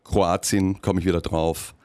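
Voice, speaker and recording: A man, a guest expert on a chat show, talking in a relaxed, chatty way with frequent hesitations. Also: low voice, measured pace, no room sound. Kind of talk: casual on-air chat.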